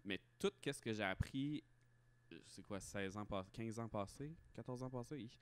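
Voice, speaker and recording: A man's voice: lowish voice, normal speed, clean tone. A man in his thirties with a Quebec French accent, speaking candidly and emphatically as a guest in a casual interview. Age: 30s. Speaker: podcast guest, drag performer and hospital respiratory therapist.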